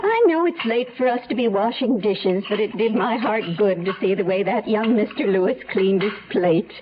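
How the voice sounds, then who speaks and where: A woman's voice: medium pitch, normal speed, music in the background, close to an everyday voice. An actress playing a kindly, hospitable, unworried grandmother in a radio drama. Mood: gently dismissive. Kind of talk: 1970s radio drama acting. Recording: dull old radio recording, faint hiss.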